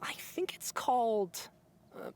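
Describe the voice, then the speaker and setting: A woman's voice: slow, darkish tone, a little hiss or hum, lightly acted. A woman voicing a chatty young female spy in a game.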